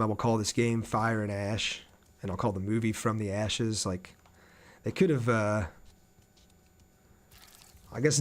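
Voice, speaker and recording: A man livestreaming a video game, chatting casually with viewers and thinking aloud as he plays. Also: medium pitch. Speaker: game streamer. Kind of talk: casual livestream gameplay commentary with chat replies.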